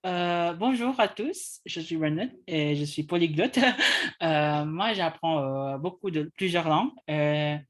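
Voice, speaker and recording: A man's voice: fairly high pitch, takes it slowly, a bit bright. A man whose first languages are English and Indonesian, co-hosting a casual discussion and often restarting his phrases. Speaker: co-host.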